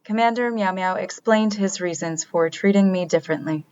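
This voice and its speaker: A woman playing a polite, literal-minded artificial crew member in a sci-fi radio drama, speaking in a formal, measured, matter-of-fact way. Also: medium pitch, darkish tone, muffled recording, close to an everyday voice. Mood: puzzled, matter-of-fact. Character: polite, literal-minded reactivated artificial crewmate, puzzled by people.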